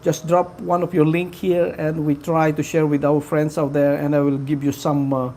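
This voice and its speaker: A man hosting a casual live stream, talking to his viewers in a halting way with many fillers. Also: on the high side, flat delivery, a little mellow, a little hiss or hum. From Philippines. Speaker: livestream host.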